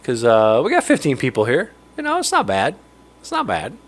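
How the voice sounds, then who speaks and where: A man's voice: medium pitch, wildly swooping pitch, normal speed. Nasal man hosting a chatty livestream. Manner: chatty, greets viewers by name, laughs often. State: getting over a cold. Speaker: livestream host. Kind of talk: casual livestream chat. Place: home fishroom with aquariums, indoors. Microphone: studio mic on stand close in front.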